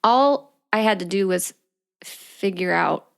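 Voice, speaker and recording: A woman's voice: medium pitch, animated, slow pace, creaky, clear, resonant tone. A woman co-hosting a podcast in casual chat, blunt and joking, with wry asides. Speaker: podcast co-host.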